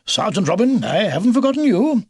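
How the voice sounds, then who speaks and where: Man voicing a blustery old grandfather beaver, gravelly. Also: high voice, big pitch swings, a little fast, darkish tone, no echo, pitched above the performer's own voice, exaggerated voice. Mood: brisk, rueful. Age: older. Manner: hearty old-fashioned British military bluster. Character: kindly old grandfather beaver, excitable and gruffly military.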